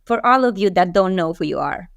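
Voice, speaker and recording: A woman's voice: lowish voice, animated, brisk, gravelly, no echo, studio quality. A woman from Romania hosting a casual interview, bubbly and emphatic, laughing often and building lists of words. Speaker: podcast host.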